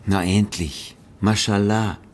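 Village man, lowish pitch, dry, knowing, pronouncing, radio drama. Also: steady tone, takes it slowly, slight background noise. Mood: relieved.

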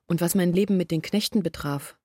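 An actress playing an earnest woman who confesses her family's failures in a reflective, measured monologue from a literary radio play. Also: on the low side, darkish tone, no echo, clean studio sound, natural voice.

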